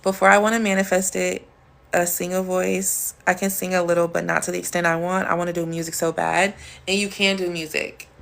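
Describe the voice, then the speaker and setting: Deep-voiced woman chatting on a livestream. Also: little pitch movement, a little fast, a bit bright. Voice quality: deep, smooth, sultry voice. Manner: playful and chatty, with bursts of high laughter. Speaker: livestream host. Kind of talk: casual livestream chat, answering comments.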